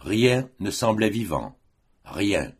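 A man reciting poetry for an audiobook in a solemn, measured, declamatory manner. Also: on the low side, big pitch swings, husky. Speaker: audiobook reader.

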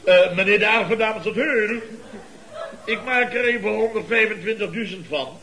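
An actor playing a blustering, boastful contractor boss in a Dutch radio comedy, with a pompous, theatrical, lecturing manner. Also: male voice, high-pitched, moderate pace, sharp tone. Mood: flustered. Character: blustering, boastful contractor boss and uncle, scheming wheeler-dealer.

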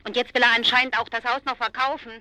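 A woman's voice: fairly high pitch, flat delivery, quick pace, pushed and bright, clean tone, dry recording. An actress playing an agitated, plaintive woman neighbour making an indignant phone call in a radio drama. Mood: distressed, helpless. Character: flustered, indignant woman neighbour phoning the police. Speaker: caller. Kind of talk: naturalistic German radio drama acting.

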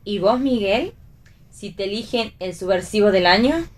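A woman's voice: mid-range voice, expressive, moderate pace, close to an everyday voice. Woman playing a teasing, theatrical Argentine actress. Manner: ironic, playful with words. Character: theatrical, teasing actress who needles her friend. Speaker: actress in a read radio play. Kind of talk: read radio theatre dialogue.

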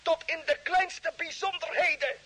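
A man playing an excited, awestruck Mars expedition commander in a vintage radio drama, repeating words urgently over a radio link. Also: very high-pitched, normal speed.

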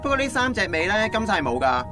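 A man's voice: high voice, fast talker. An actor playing a goofy, lovelorn 27-year-old male friend with a Hong Kong Cantonese accent, joking and comforting in a radio drama. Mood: joking, wistful. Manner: joking, animated. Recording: music behind the dialogue.

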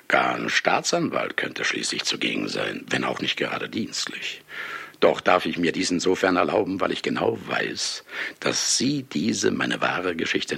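Radio drama lead: brash boozy male novelist, sardonic and theatrical, husky, slow and savouring, widely swooping delivery. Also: extremely low pitch, sharp tone, heavily acted. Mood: sly, ironic. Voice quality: gravelly, husky. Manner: sly, chuckling, ceremonious asides with drawn-out words. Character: brash, hard-drinking, celebrated male novelist, sardonic and self-mocking. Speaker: narrator addressing the audience. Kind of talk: theatrical radio play monologue.

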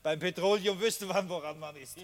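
An actor playing an easygoing, reassuring customs officer in a radio play, talking casually. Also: male voice, sits high, moderate pace, lightly acted. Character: easygoing, helpful male customs officer on bicycle. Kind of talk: German radio play acting.

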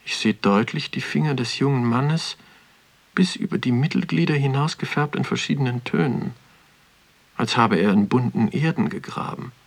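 A man playing a brooding museum visitor in a radio drama, narrating his observations in a deliberate, solemn literary monologue. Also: mid-range voice, creaky. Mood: solemn, contemplative. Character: brooding male museum visitor musing on dead exhibits.

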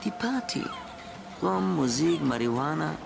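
An actor playing a dogged, brash police sergeant in a radio crime drama, with a blunt, pushy, streetwise manner. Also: male voice, on the high side, big pitch swings, unhurried, gravelly, music in the background, exaggerated voice. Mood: dogged, businesslike. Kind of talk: naturalistic radio play acting.

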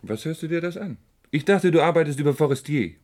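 An actor playing a concerned, gently impatient companion in a radio play, speaking in a familiar, questioning way. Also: male voice, on the high side, expressive, fast. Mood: concerned, probing.

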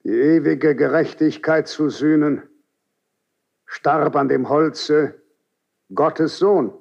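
An actor playing an aged, unyielding Grand Inquisitor in a classical German radio drama, speaking in a severe, measured, declamatory and reproachful way. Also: male voice, fairly high pitch, fairly even intonation, vocal fry, darkish tone, exaggerated voice. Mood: cold, solemn. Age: older. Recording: dull 1970s radio drama recording.

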